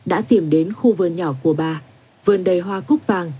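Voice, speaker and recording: Husky, dark-voiced woman narrating a story. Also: on the low side, fairly quick.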